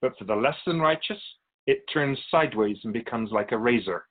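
A man playing a wise spirit who keeps souls in a radio drama, teaching in measured explanations with pointed asides. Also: mid-range voice, expressive, narrow, tinny audio, natural voice. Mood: solemn, instructive. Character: ancient male spirit Keeper of Souls, wise storytelling teacher. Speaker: interviewed guest. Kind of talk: scripted radio drama dialogue.

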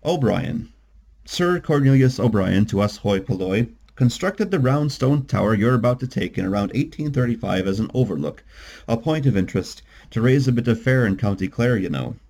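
A man's voice: mid-range voice, expressive, moderate pace, vocal fry, darkish tone. A man voicing a talkative, proud Irish tour guide in a storybook, with grand, expansive patter.

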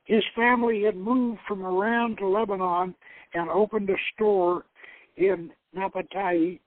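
A man's voice: high-pitched, fairly even intonation, measured pace. A man voicing an earnest, reflective young Lebanese woman telling her life story in a dramatic novel reading, over a narrow phone-like line. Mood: reflective, wistful.

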